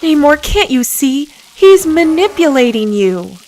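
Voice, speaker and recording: A woman's voice: sits high, sing-song, measured pace, a little mellow, faint music behind, heavily acted. A woman playing a loyal, anguished superheroine wife in a scripted drama, earnest and emotional. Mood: pleading, firm.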